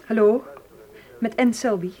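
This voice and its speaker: A fairly high-pitched young woman in a radio thriller. She is polite and anxious, with a hesitant delivery. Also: a little slow, darkish tone, breathy edge. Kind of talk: radio drama acting.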